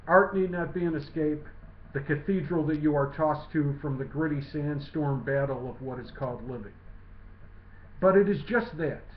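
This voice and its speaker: High-pitched man reading an essay, echoey. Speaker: writer reading his own essay. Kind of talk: scripted literary essay reading. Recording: muffled lo-fi, roomy echo.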